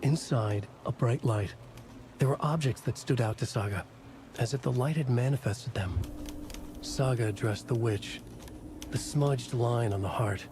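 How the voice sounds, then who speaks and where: A measured male narrator of a dark tale, voiced by a man in a video game. He keeps an even, mid-height voice. Clear noise fills the background. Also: medium pitch, lightly acted. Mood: ominous. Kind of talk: scripted narration.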